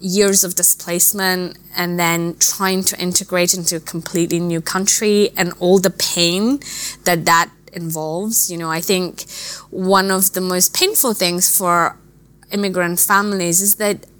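A woman from Afghanistan, a native Dari speaker, giving earnest, reflective interview answers in a measured way with thoughtful pauses. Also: on the low side, level tone, fairly bright tone. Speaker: interview guest, refugee charity director.

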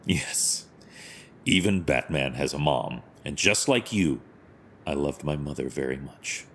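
An actor playing a stern but compassionate masked vigilante hero in an audio drama, speaking in a measured, formal, solemn way. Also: male voice, very deep voice, expressive, fairly bright tone, slight background noise, full character voice. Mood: gently reassuring.

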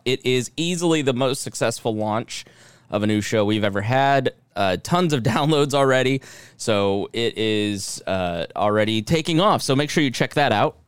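A man's voice: medium pitch, smooth, dry recording. A middle-aged man hosting a podcast, reading promos and announcements. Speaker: podcast host.

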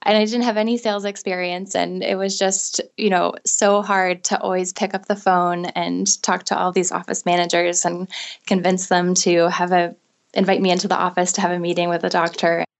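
Brief: soft-spoken woman in her thirties, low and flat delivery, earnest guest recounting her start. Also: moderate pace. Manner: earnest, conversational storytelling with fillers. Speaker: guest entrepreneur. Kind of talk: interview guest.

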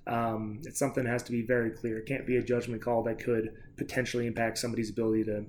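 A man speaking as a sports show panelist, casually talking through his view in a measured, careful way. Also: medium pitch, level tone, darkish tone, slight background noise.

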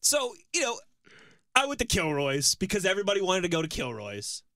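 A teasing co-host in his early thirties, telling a laid-back anecdote on a sports talk show. His voice is bright and sits a little high. It is recorded dry and close. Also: wildly swooping pitch, a little fast, no echo. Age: 30s. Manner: teasing, chatty anecdote-teller. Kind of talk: casual sports radio banter, storytelling.

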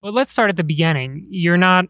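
A man's voice: high voice, animated, fairly quick, bright, forceful tone, no room sound, clean studio sound. A man hosting a podcast in a chatty, curious way, asking his guest questions. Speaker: podcast host.

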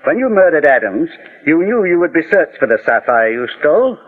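Kindly old male detective, measured, formal, unruffled, 1940s radio drama, narrow tinny sound. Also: a little high, big pitch swings. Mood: calm, accusing. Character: kindly old gentleman detective, calm and shrewd. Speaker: lead detective.

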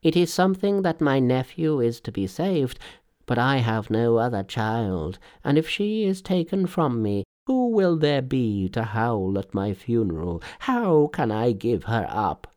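A man's voice: mid-range voice, sing-song, a little slow, darkish tone. A man voicing a humble old village father in a storybook, earnest, deferential and emotional, with emphatic phrasing. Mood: pleading. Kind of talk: storybook character voice.

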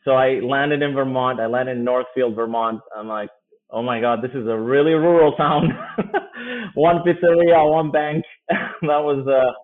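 A man in his forties from Kosovo, whose first language is Albanian, speaking as a guest in a casual podcast interview, easygoing and quick to laugh. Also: medium pitch, steady tone. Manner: easygoing, laughs often, quick bursts of speech. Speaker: guest, company founder. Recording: phone-like remote line.

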